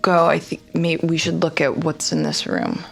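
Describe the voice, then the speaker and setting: A woman's voice: low voice, level tone, normal speed, gravelly. A woman talking casually as a player in a tabletop roleplaying game. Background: music, rain effects.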